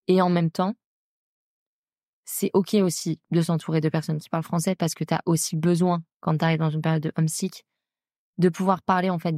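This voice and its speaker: A young woman with a French accent from France speaks in a low, soft-edged, relaxed voice. The sound is close and dry. She chats informally as a podcast host, using slang and talking directly to the listener. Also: no room sound. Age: young adult. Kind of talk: casual solo chat about personal experience.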